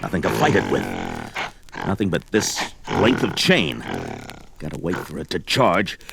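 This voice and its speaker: An actor playing a bold, defiant barbarian prisoner in a 1970s audio drama, talking dramatically and thinking aloud. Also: male voice, a little high, gravelly, very noisy, exaggerated voice. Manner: dramatic, declamatory. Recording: vintage record drama with music behind.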